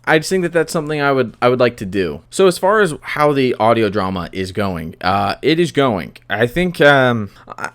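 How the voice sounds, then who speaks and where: A man hosts a solo podcast, speaking without a script at a mid-range pitch. He rambles hesitantly, often doubling back to repeat and restart his phrases. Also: a bit bright, clear, resonant tone. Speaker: podcast host. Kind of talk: unscripted solo podcast monologue.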